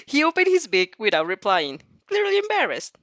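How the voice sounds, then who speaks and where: A woman reads a story aloud in an informal, expressive way. Her intonation swings widely and she is prone to giggly asides. The recording is dry and close. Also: high voice, moderate pace, no echo, lo-fi sound. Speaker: narrator reading fan fiction and reacting. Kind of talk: story narration with casual reactions.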